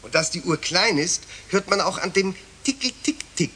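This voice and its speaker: A man's voice: sits high, animated, normal speed, pushed and bright, breathy edge, heavily acted. An actor playing an exasperated, admonishing but patient male schoolteacher in a 1970s children's radio play. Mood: instructing.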